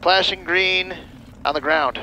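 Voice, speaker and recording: A mature man speaks over loud airplane noise. He is a pilot, chatting casually as he explains procedures and quizzes his companion through a headset. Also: high-pitched, takes it slowly, poor audio. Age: middle-aged or older. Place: small airplane cockpit.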